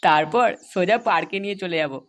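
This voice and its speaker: Rowdy young cartoon lad played by a man, high voice, fast crude banter, faint noise. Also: fairly bright tone. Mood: eager, lewd. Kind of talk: crude comedy cartoon dialogue.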